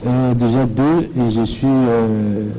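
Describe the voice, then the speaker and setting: Mellow-voiced musician guest. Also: male voice, medium pitch, fairly even intonation, takes it slowly, slight background noise, poor audio. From Republic of the Congo. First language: Kikongo. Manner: plain, answers in short phrases with fillers. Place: TV studio set.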